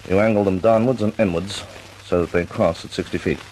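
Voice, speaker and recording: An actor playing an authoritative senior air force officer in an old radio drama, with a dry humor. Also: male voice, low-pitched, fairly even intonation, brisk, darkish tone, a little hiss or hum, close to an everyday voice, rough recording. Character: senior RAF air vice marshal, older man. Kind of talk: 1940s radio acting.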